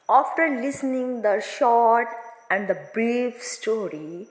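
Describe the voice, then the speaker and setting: A woman teacher explaining a moral story to students in halting, non-native English phrasing. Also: medium pitch. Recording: echoey room with long reverb.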